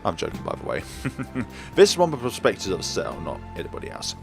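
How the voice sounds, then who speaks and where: A man's voice: on the low side, wildly swooping pitch, fairly quick, gravelly. A man hosting a let's play of a visual novel, adding joking, rambling asides to the story. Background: game music.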